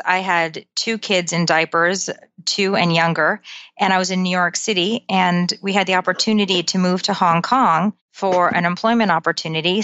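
Woman, forties, low voice, methodical storyteller, muffled low-fi sound. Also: fairly bright tone, smooth, dry recording. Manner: methodical, dates-driven storytelling. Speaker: guest author. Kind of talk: interview answers. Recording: dull lo-fi audio.

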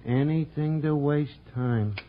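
An actor playing an impatient, stubborn American police sergeant in a 1940s radio crime drama, with slangy, sarcastic talk. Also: male voice, a little high, takes it slowly, slight background noise. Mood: sarcastic, exasperated. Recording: dull, lo-fi old radio recording.

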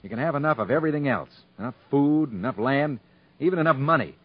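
An actor playing a stubborn, proud rural moonshiner and husband with folksy country talk in a 1950s radio drama. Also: male voice, mid-range voice, animated, fast, slightly rough. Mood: earnest, tender. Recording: muffled 1950s radio broadcast recording.

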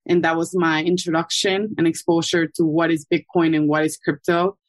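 A woman from Ecuador, a guest calmly explaining her background in an interview. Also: deep voice, normal speed, dry recording. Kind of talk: casual interview answers.

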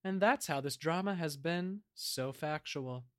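A man's voice: on the high side, measured pace, fairly bright tone, dry recording, clean studio sound. A man playing an earnest, self-important historian who narrates in a formal, declamatory way in an audio drama. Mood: earnest, grandiose.

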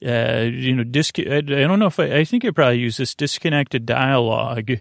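Male sleep-podcast host, gravelly creak, wandering halting monologue, close dry sound. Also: mid-range voice, dry recording. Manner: meandering, halting, full of tangents and self-corrections. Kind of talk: rambling bedtime podcast monologue to help listeners sleep.

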